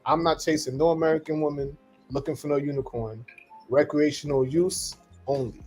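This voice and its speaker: A man's voice: on the high side, fairly even intonation, normal speed, darkish tone, soft background music. A man from Trinidad, co-hosting a casual podcast chat and talking bluntly with jokes and repeated words. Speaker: podcast co-host.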